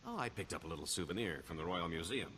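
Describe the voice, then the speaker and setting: Video game rogue: wry, scheming career criminal, middle-aged, theatrical and wheedling, low-pitched with very lively inflection. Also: male voice, quick pace, noisy background. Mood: wry, boastful. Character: roguish male career criminal, wry, boastful, scheming veteran.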